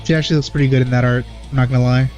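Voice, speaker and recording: A man giving casual reaction commentary as a video host, in a hesitant, low-key manner. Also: little pitch movement, very fast, darkish tone, highs cut off. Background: game trailer music.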